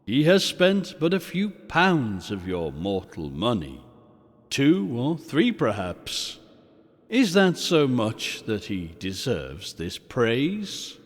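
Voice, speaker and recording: A man voicing a solemn, otherworldly spirit in a storybook reading, with a measured, quietly questioning manner. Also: mid-range voice, huge pitch swings, in a large hall, exaggerated voice. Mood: gently probing. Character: solemn, probing ghostly spirit, calm and otherworldly.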